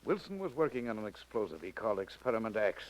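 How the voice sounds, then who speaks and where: An actor playing an impatient, mocking kidnapper and interrogator in 1940s radio drama style, with theatrical, threatening, formal phrasing. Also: male voice, fairly low pitch, big pitch swings, quick pace, poor audio. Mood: cold, impatient.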